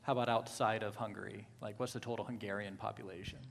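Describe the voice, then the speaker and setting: A man hosting a casual interview at a church gathering, asking relaxed questions. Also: fairly low pitch, quick pace, vocal fry, in a large hall. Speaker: church host. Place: church hall.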